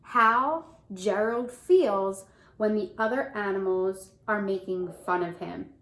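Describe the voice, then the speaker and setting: A woman reading a children's story aloud as a teacher, pausing to ask questions and explain words. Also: mid-range voice, fairly bright tone.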